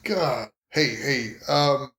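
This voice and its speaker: A man's voice: mid-range voice, extremely slow, bright, forceful tone. A man playing a tabletop role-playing game, improvising casually in and out of character. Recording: roomy, echoey home studio.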